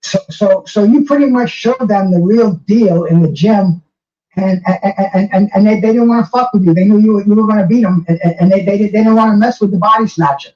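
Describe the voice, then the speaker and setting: A slightly dull, roomy recording carries an older man a little way from the mic. His voice is fairly high, and he interviews casually and admiringly, often stammering over repeated words. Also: brisk. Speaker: host interviewer, boxing fan. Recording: muffled, roomy, distant from the mic.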